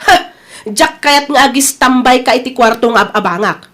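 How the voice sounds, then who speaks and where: A woman's voice: high voice, normal speed, fairly bright tone. A woman acting a strict, aggrieved older sister with a Filipino accent in a family audio drama. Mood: angry, scolding. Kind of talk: Ilocano radio-style family drama acting.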